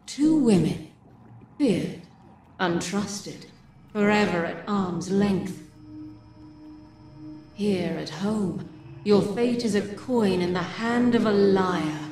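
An actress voicing a calm, cryptic hooded female emissary who speaks slowly and refers to herself as "we". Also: medium pitch, lightly acted. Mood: prophetic. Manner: slow, measured, speaks as "we". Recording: echoey, distant, with loud music.

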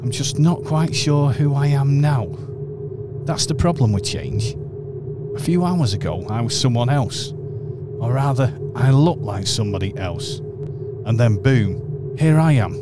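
A man playing a calm, eccentric male alien time-traveler in a radio play, talking in a musing, whimsical way. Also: medium pitch, measured pace, loud music behind the voice, natural voice. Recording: radio play with clear background noise.

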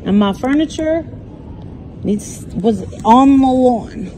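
High-voiced insistent woman customer. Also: lively intonation, slow pace. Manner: insistent, protesting. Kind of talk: disputing with store staff. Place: furniture store, indoors. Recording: slightly noisy store, phone video.

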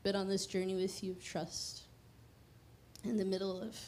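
A woman's voice: medium pitch, level tone, moderate pace, a little hiss or hum. A woman, preaching a conversational, emphatic sermon into a handheld mic on a church stage. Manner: conversational, emphatic, drops to a hushed prayer.

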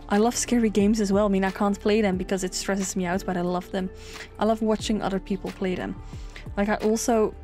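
A young woman chatting casually over a build, rambling with lots of fillers. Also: a little mellow. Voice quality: low singing voice. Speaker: sims speed builder.

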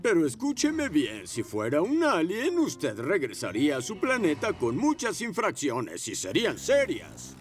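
Pompous, swooping police motorbike. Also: male voice, on the high side, wildly swooping pitch, measured pace, few pauses. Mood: officious. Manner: pompous, formal. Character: stern, officious male police motorbike, law-abiding and pompous.

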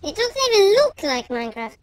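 Squeaky voice-changed childlike gamer. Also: male voice, a little fast, no echo. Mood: griping, playful. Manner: whiny, excitable, sweary. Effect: pitch-raising voice changer. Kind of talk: casual gaming chatter, trash talk.

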